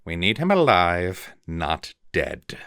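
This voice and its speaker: A man voicing a scheming male villain who stays icily calm and hisses his threats. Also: low-pitched, takes it slowly, clear, resonant tone, no room sound, clean studio sound, heavily acted. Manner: icily calm, hissing threats, then screaming denials. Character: sly cold male villain, spectacled mutineer.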